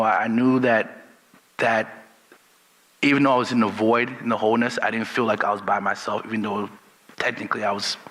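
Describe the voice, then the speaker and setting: A man from Liberia telling a personal story in a relaxed, unhurried storytelling manner. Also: lowish voice, long reverb tail, poor audio. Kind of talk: personal testimony.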